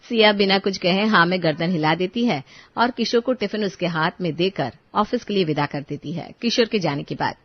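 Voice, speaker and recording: Low-voiced woman narrating a story. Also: a little fast, no room sound. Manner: even, storytelling. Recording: dull, dry studio recording.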